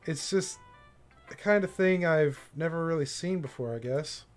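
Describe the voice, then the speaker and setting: Male livestreamer, voice a bit on the high side, informal visual-novel playthrough with read-aloud lines, soft game music behind. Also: clear, resonant tone. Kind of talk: casual playthrough commentary, reading game text aloud.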